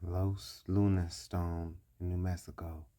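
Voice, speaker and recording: Man going slow over pics, deep mellow voice, says stuff twice. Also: level tone. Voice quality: deliberate, repeats points. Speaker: commentator. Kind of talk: explanatory commentary over images.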